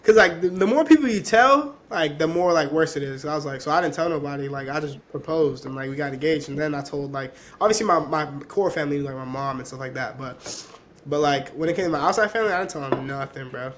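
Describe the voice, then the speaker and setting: A twenty-year-old man whose first language was Spanish, talking casually as a podcast guest and leaning on fillers as his phrases trail off softly. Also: a little high, fast, slight background noise. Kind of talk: casual conversation.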